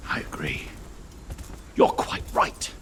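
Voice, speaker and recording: An actor playing a theatrical, smug villainous lord in a video game, mock-polite and pompous in a drawling delivery. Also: male voice, slow, fairly bright tone, whispered. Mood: gloating, menacing. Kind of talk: video game cutscene acting.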